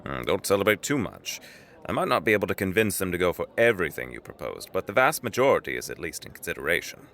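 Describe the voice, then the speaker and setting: A man playing a polite businessman gentleman with dry humor and a courteous, formal manner in an audio drama. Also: low-pitched, sing-song, quick pace, full character voice. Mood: courteous, wry.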